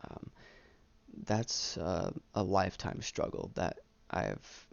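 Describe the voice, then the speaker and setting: Young guy vlogging, stutters a bit, earnest and down on himself, croaky voice, dull dry lo-fi sound. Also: low voice, big pitch swings, no echo. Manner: halting, repeats and stutters on words; self-deprecating, earnest. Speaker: YouTube vlogger. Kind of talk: candid personal monologue, casual chat. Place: small room indoors.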